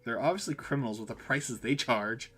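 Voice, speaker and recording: A man's voice: medium pitch, brisk, fairly bright tone, slightly rough, faint music behind. A man reading as a stressed, snappy cafe owner. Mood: indignant. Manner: irritable, blunt. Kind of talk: visual novel dialogue read aloud.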